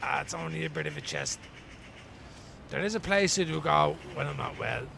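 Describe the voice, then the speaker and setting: An actor in a radio play playing a weary, wry homeless man from Dublin, rambling colloquially in a gravelly voice. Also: fairly high pitch, lively intonation, moderate pace, pushed and bright, slight background noise, exaggerated voice. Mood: opinionated, weary. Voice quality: gravelly, creaky. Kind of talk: radio play acting as an interviewee.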